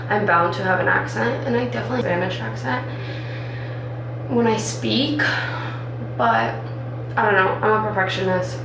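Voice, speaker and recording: A twenty-year-old woman, an architecture student, chatting casually in a rambling way while doing her makeup, filling her talk with "like.". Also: medium pitch. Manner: rambling, filler-heavy with lots of "like". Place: indoors, doing makeup in a room. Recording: echoey room, distant mic, background noise.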